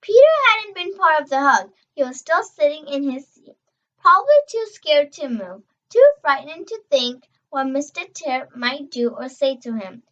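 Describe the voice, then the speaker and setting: A young girl reading a children's novel aloud, expressive and emphatic on dialogue, stumbling over words now and then. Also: sits high, moderate pace, lo-fi sound. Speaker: reader.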